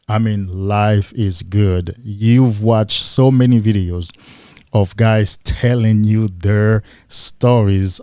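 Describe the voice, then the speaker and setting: A man talks slowly and deliberately on a livestream, his voice low and mellow. He leans on rhetorical questions and emphatic repetition, and the sound is dry and close. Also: no room sound. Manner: mimics excited men in a higher voice. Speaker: livestream host. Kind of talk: motivational livestream talk.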